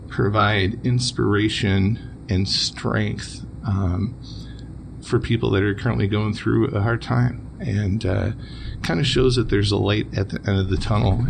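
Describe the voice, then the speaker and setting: A man's voice: fairly low pitch, creaky, background noise. A man from Wisconsin hosting a casual interview podcast, easygoing and chuckling as he asks follow-up questions. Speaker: podcast host.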